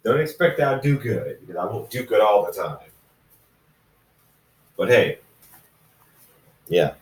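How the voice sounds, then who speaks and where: A man reading amateur fan fiction and chatting to viewers in a halting, self-deprecating, rambling way. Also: on the low side, sing-song. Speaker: fan fiction reader, video host. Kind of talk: amateur story reading and casual vlog chat. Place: dark room, in front of camera. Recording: roomy echo, distant mic.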